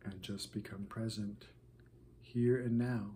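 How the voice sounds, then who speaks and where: A man leading a guided meditation, speaking softly with long pauses between phrases. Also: on the low side, fairly even intonation, slight background noise. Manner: slow, soft-spoken, with long pauses.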